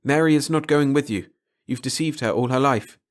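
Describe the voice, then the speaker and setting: A man's voice: medium pitch, moderate pace, voice pushed up, natural voice. A man voicing a protective, defiant young man in an audiobook, bold and firm. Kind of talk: dramatic audiobook character reading.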